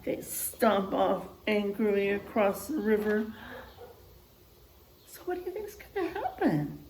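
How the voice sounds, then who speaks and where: A woman reading a picture book aloud in an expressive, child-directed way, with asides and questions to young listeners. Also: mid-range voice, slow, echoey room, a little hiss or hum, low-quality recording.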